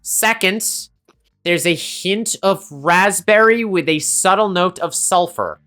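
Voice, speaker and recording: A woman voicing an excitable young female tinkerer in a tabletop game, with squeaky rises in her speech. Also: slow, faint music behind. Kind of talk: improvised tabletop character voice.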